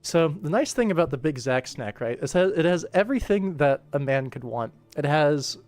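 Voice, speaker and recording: A man's voice: a little high, lively intonation, moderate pace, darkish tone, dry recording, soft background music. An American man chatting casually on a livestream as he works, sometimes talking half to himself. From United States. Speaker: livestreamer and animator. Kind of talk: casual livestream chat while working.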